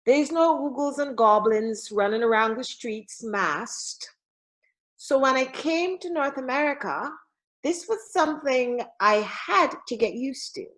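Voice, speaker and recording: Slow, lively woman speaking. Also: fairly high pitch, low-quality recording. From Barbados. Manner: formal and measured, with dramatic emphasis. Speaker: Toastmasters speaker. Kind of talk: impromptu club speech. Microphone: video call.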